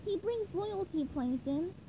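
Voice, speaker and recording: A woman voicing an eager, sweet young male cartoon sponge who is earnest and excitable in a storybook reading. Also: very high voice, a little slow, slight background noise, voice pushed up, low-quality recording. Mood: gentle. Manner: pleading, earnest, exclaiming.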